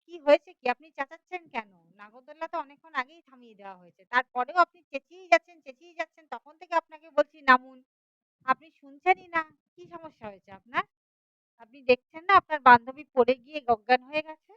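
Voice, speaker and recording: A woman's voice: high voice, a little fast, sharp tone, no room sound, dull audio, pitched above the performer's own voice. A woman voicing an exasperated fairground bystander in a story, insistent and repetitive with scolding questions.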